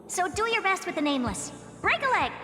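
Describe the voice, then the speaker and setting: A woman's voice: very high voice, big pitch swings, a little fast, bright, forceful tone, full character voice. A woman voicing a self-assured, casually teasing genius scientist in a video game, breezy and confident. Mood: breezy, knowing. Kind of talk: video game character acting. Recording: long reverb under clear music.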